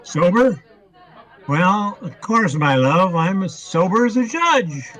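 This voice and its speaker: A man's voice: high voice, very animated, slow pace, a bit bright, a faint crowd, exaggerated voice, rough recording. A man playing a tipsy, henpecked husband in a radio comedy, wheedling and placating with flowery self-importance. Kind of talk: old-time radio comedy acting.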